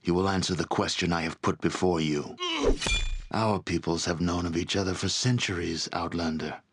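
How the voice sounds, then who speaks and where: Very deep, solemn barbarian chieftain, played by a man. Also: steady tone, noisy background, close to an everyday voice. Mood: stern challenge. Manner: solemn, formal, deliberate oratory. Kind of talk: scripted fantasy game voice acting.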